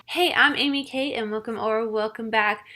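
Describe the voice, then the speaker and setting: A woman's voice: a little high, bright, forceful tone, dry recording, clean studio sound, faint music behind. A woman in her twenties co-hosting a podcast, chatting casually and laughing at herself. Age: 20s. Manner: chatty, self-deprecating, quick to laugh. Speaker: podcast co-host. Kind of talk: casual chat.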